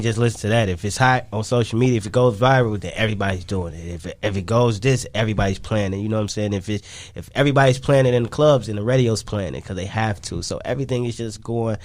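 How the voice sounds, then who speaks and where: Male artist guest, Chicago accent, lower register, close dry recording, loose slangy interview delivery. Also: dry recording. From Chicago, United States. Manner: slangy, repetitive, emphatic phrasing. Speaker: guest, independent music artist. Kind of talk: casual interview talk.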